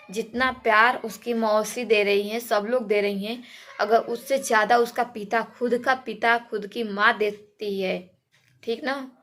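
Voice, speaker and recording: A woman's voice: on the high side, a bit bright. A woman gives informal commentary to viewers, emphatic and repeating phrases for stress. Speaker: reaction video host. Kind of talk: informal commentary on a viral dispute, addressed to viewers.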